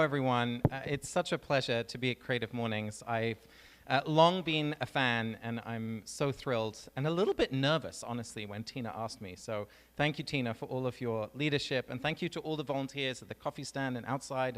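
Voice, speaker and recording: A man with an English accent giving a personal talk on stage, relaxed and storytelling, with frequent ums. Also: mid-range voice. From England. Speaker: guest speaker. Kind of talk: public talk. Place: large venue on stage. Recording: reverberant hall.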